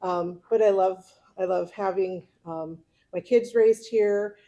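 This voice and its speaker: A middle-aged American woman speaks informally, as a club member giving a personal talk. Her voice has a noticeable creak at an ordinary pitch, and her manner is chatty. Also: fairly even intonation, slow pace, dark tone, highs cut off. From California, United States. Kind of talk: informal autobiographical speech.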